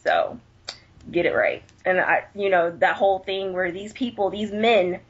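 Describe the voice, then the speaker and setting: A woman's voice: mid-range voice, fairly even intonation, unhurried, breathy edge. A woman panelist giving blunt, exasperated opinions in a casual conversation about film. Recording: dull, muffled lo-fi audio.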